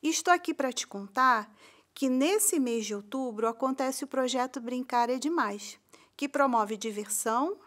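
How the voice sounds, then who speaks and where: A woman's voice: high voice, a bit bright. A woman in a recreation role giving a scripted, friendly introduction and speaking directly to the viewer. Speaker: recreation analyst presenting a video. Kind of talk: scripted presentation.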